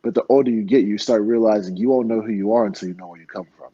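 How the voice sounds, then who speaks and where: A man's voice: on the low side, quick pace, warm tone, slightly rough, no room sound, lo-fi sound. A young man from Florida telling earnest, heartfelt stories in a casual interview, full of fillers and restarts. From Florida, United States. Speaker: MMA fighter guest.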